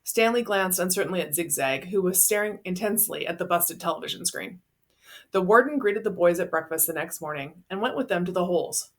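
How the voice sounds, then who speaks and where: A woman's voice: on the low side, brisk. A woman narrating a children's novel, reading it aloud as a storyteller.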